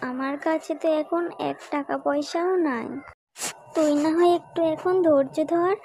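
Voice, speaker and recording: A poor mother fox from a children's cartoon, played by a woman. Her voice is high yet warm and dark in tone. She explains patiently, in a gentle motherly way. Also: normal speed, no room sound. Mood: patient, apologetic. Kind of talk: children's cartoon story voice acting.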